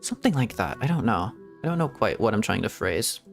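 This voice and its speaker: A man's voice: lowish voice, sing-song, brisk, vocal fry, over loud music. Musing man streaming a game. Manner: rambling and reflective, with hedges and soft laughs. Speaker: VTuber streamer. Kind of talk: casual gameplay commentary.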